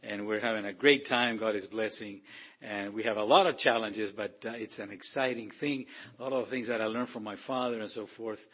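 A man's voice: lowish voice, fairly even intonation, a little fast, slightly rough, lo-fi sound. A man from Argentina, director of a ministry, giving an informal testimony to a congregation with many fillers and restarts. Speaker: guest speaker, ministry director.

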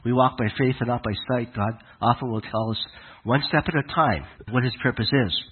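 A man with a cold and a raspy voice, giving a conversational, halting sermon to his congregation. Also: mid-range voice, phone-quality sound. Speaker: congregation leader. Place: congregation hall in Alberta.

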